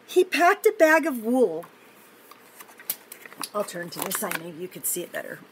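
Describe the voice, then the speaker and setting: An older woman reading a picture book aloud to children, pausing for simple, encouraging chatty asides about the pictures. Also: mid-range voice, sing-song, breathy edge, low-quality recording. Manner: encouraging, explains pictures simply to young listeners.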